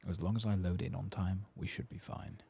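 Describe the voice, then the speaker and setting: A man doing soft-spoken ASMR game commentary, husky and breathy, often slipping into a whisper, with casual, self-mocking muttering. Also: sits low, fairly even intonation, a little fast, a little hiss or hum. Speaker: host of a game playthrough.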